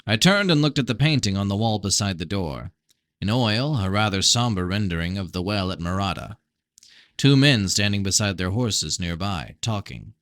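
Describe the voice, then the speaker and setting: A man's voice: fairly low pitch, big pitch swings, moderate pace, a bit bright, no echo. A man reading a fantasy novel aloud as an amateur narrator, with casual delivery. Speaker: amateur audiobook reader.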